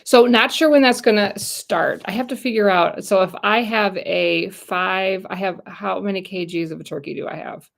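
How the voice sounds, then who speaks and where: An American woman in middle age hosts a loose, chatty livestream, talking straight to her viewers. Her voice has a gravelly, fried edge. Her pitch sits at an ordinary middle height. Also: moderate pace, few pauses. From United States. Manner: chatty, rambling, talks to viewers directly. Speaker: YouTube channel host. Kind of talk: casual livestream chat.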